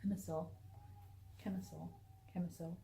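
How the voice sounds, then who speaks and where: A woman book vlogger chatting casually and reading aloud in an animated, expressive way. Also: lowish voice, normal speed, vocal fry, poor audio.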